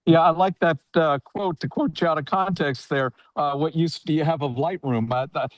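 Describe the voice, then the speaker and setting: Male host, jokey and upbeat, a little higher voice, dry close sound. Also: moderate pace, no echo. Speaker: interviewer and host. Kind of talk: hosted interview on a video call. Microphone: video call.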